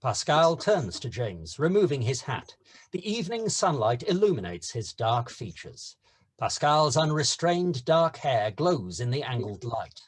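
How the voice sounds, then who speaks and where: A man's voice: mid-range voice, very animated, a little slow, breathy edge. A man narrating scene headings and stage directions from a script.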